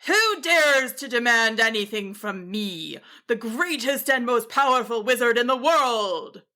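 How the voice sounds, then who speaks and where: A woman's voice: medium pitch, takes it slowly, pushed and bright. A woman voicing a grumpy, pompous old male wizard in a storybook, snarling and huffy.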